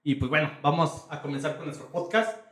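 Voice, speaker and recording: Echoey, higher-voiced man hosting. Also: fairly quick, a bit bright. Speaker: podcast host and interviewer. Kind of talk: conversational podcast interview. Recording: echoey, roomy sound.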